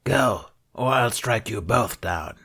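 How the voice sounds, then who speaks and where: A man voicing a huge, cruel alien crime-boss matriarch in a slow, guttural, menacing growl for a story reading. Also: sharp tone, full character voice. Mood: furious. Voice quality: guttural, husky bass growl.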